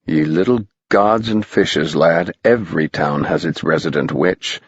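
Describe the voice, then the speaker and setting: A man reading an audiobook, voicing a mischievous, gleeful old male spirit guide in a low, old-fashioned manner. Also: measured pace, husky, dry recording, dull audio, full character voice. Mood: gleeful, spooky.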